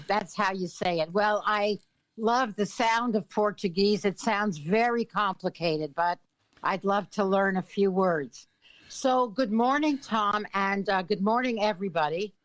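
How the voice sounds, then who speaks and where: Slow-talking older Canadian woman guest. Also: mid-range voice, dry recording, rough recording. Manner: slow, thoughtful, many fillers and throat clearing. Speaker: author and influence consultant. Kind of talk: interview guest.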